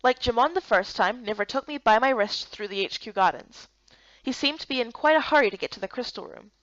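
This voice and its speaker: Animated woman narrating, high voice. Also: a little fast, dry recording, muffled recording. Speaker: narrator and lead character reader. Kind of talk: scripted visual novel narration.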